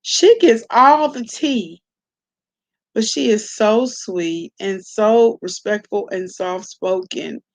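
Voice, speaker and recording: A woman's voice: medium pitch, slow pace. A woman hosting an online commentary channel, chatting casually and informally in a gossipy way, with salty slang and frequent check-ins with the listener. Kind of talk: casual chatty reaction vlog.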